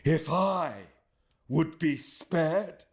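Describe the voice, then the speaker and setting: A cold, proud woman , played by a man, sneers each word with slow, measured contempt. The voice sits a little above a man's normal pitch. It moves expressively between hushed and sharp. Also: sing-song. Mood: scornful.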